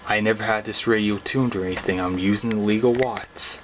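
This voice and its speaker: A man's voice: lowish voice, fairly even intonation, brisk. A young man talking casually to camera about his hobby in a rambling, informal, folksy way. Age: young adult. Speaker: hobbyist vlogger. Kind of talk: casual explanatory talk to camera. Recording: clearly noisy background ambience.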